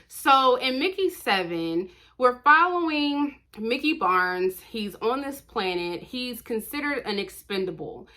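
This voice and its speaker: Bright-voiced woman chatting about books. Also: medium pitch. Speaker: book reviewer, vlog host. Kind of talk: casual chatty book review vlog.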